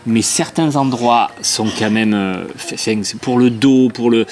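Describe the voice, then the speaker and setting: A man co-hosting a casual travel vlog, blunt and emphatic and quick to laugh, recorded outdoors on a camera mic. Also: mid-range voice, a little mellow. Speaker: vlogger. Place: outdoors in hot weather.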